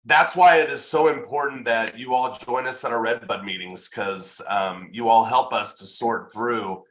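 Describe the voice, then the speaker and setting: A man's voice: medium pitch, moderate pace, a bit bright. A man giving informal thanks as an event organizer, speaking earnestly and a bit hesitantly. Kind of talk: informal thank-you remarks at online event. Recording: roomy, echoing sound.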